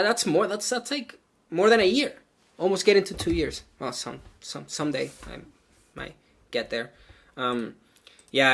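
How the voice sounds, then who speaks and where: A man's voice: mid-range voice, bright, forceful tone. A man from Venezuela, a native Spanish speaker, chatting casually with viewers as he codes live, thinking aloud and filling his talk with "like" and "um". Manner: chatty and loose, full of "like" and "um", thinks aloud while coding. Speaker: live coding streamer.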